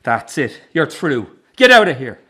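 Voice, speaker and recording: An actor playing a middle-aged circus boss in a radio drama, defensive, bitter and short-tempered. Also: male voice, on the high side, big pitch swings, unhurried, a bit bright. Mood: angry, accusing. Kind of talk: naturalistic radio drama acting.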